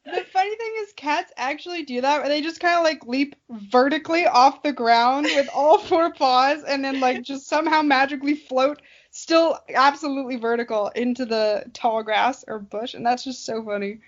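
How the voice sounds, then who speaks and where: A woman's voice: high-pitched, moderate pace, few pauses. A young adult woman chatting casually as a podcast co-host, with a wry, joking manner. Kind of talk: casual chat. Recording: dull, lo-fi audio.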